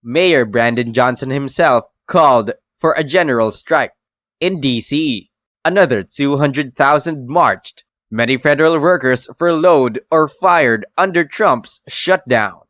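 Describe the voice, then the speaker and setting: A man's voice: medium pitch, measured pace, fairly bright tone, dry recording, clean studio sound. A man reading scripted news documentary narration.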